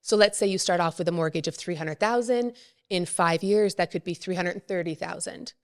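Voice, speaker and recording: Woman guest expert, low pitch, calm explanatory podcast talk. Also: brisk. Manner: explains plainly, with frequent fillers. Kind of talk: casual podcast interview.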